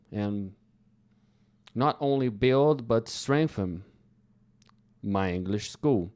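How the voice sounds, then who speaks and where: A young man from Brazil, a Portuguese native speaker with a Brazilian accent, teaching English slowly and carefully to learners. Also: medium pitch, mellow, clean tone. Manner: slow, careful, deliberate phrasing with pauses. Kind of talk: slow English lesson talk.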